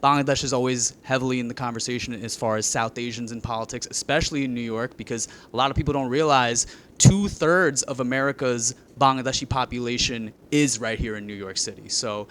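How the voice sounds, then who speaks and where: A man hosting an interview podcast, asking questions in a measured, articulate way. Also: medium pitch, fairly even intonation, a bit bright, a little hiss or hum. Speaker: podcast host.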